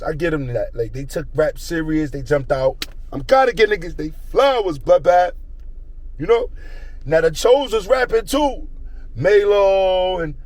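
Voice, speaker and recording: A man's voice: fairly high pitch, darkish tone, low-quality recording. A young man telling a rambling street story in slang, circling back to a repeated catchphrase.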